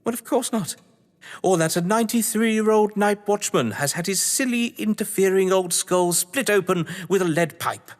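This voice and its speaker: An actor playing a whimsical, scheming crime-novelist lord of the manor, with a British accent and a pompous, florid delivery, in a stage-thriller audio drama. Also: male voice, sits high, normal speed, natural voice. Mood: exasperated, rousing. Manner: florid, pompous, playfully grandiose. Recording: long hall-like reverb.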